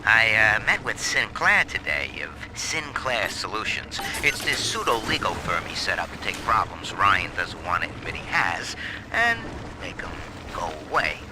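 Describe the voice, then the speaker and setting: A man's voice: lowish voice, sing-song, moderate pace. An actor playing a wry, streetwise man who talks colloquially and sardonically into an audio diary. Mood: wry, conspiratorial. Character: wry, streetwise male small-time worker keeping an audio diary. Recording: very noisy old audio diary.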